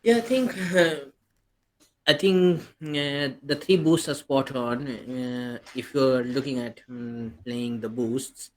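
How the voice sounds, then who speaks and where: A man's voice: mid-range voice, slow. A man co-hosting a podcast, chatting casually and eagerly about fantasy football, often restarting his sentences. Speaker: podcast co-host.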